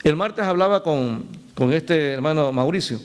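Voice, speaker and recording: Male pastor, high-pitched, conversational preaching with asides to the flock. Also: a little slow. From El Salvador. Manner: storytelling with laughs and direct appeals to the congregation. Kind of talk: sermon.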